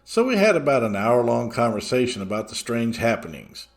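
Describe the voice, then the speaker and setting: Man narrating with lively, varied pitch. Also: a little fast, vocal fry. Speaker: podcast host reading listener stories. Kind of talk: scripted first-person story narration.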